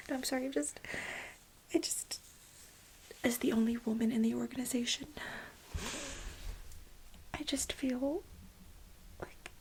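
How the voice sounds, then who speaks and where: A woman acts out an overworked female bioengineer pleading with her boss in an ASMR role play. The voice is fairly high and mostly whispered, full of breath. She sounds frantic and aggrieved, stressing and repeating words in halting, dramatic bursts. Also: low-quality recording. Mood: hurt, exasperated.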